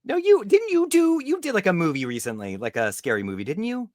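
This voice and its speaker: A man with a higher-pitched voice hosts an informal interview. His intonation swings freely and his manner is playful, recorded close and dry. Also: sing-song, brisk, no room sound. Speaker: podcast host. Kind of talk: casual interview chat.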